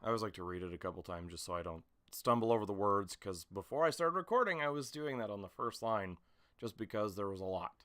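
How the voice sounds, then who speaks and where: Casting: male amateur voice actor, slightly low clear voice, informal vlog talk, self-conscious and meandering. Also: animated, fast talker, fairly bright tone. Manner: hesitant, rambling, self-conscious. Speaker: amateur voice actor recording an audition video. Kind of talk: casual vlog chat. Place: small home room with a mattress against the door.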